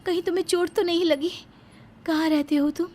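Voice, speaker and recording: High-pitched earnest young woman, acted by a woman. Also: fairly even intonation, a little fast, darkish tone. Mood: gentle, polite. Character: wronged, earnest young daughter-in-law who becomes a lawyer. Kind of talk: dramatised moral story acting.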